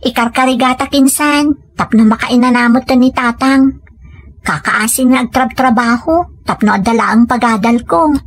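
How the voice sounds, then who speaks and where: A woman acting as a dutiful Filipino daughter still in her studies, in an audio drama. Her voice is notably high, and her manner is modest, polite and grateful. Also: normal speed, close to an everyday voice. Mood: determined. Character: dutiful young female student, grateful daughter.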